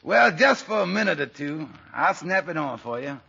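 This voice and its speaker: An actor playing a warm-hearted, earnest family man in 1940s radio comedy, with a raspy voice and folksy grammar. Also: a little high, animated, moderate pace, full character voice. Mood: gentle, fatherly. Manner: folksy ungrammatical speech. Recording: dull old radio recording.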